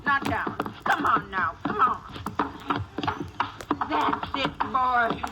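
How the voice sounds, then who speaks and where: A woman's voice: high-pitched, lively intonation, extremely slow, full character voice. An actress playing a chatty, stubborn elderly farm woman, in old radio drama style. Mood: exasperated, straining. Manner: homespun, chatty, exclamatory. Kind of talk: 1950s radio drama acting. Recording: noisy old radio recording.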